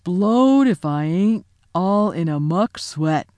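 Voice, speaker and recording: A woman voicing a huge, good-natured male giant who talks slowly in a polite rustic dialect. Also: on the low side, animated, warm tone, no room sound. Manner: slow, rustic dialect, deferential.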